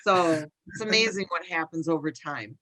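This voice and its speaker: Woman host, bright voice, easygoing chat, gentle prompting questions. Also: deep voice, normal speed, low-quality recording. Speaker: podcast host. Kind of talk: casual interview chat.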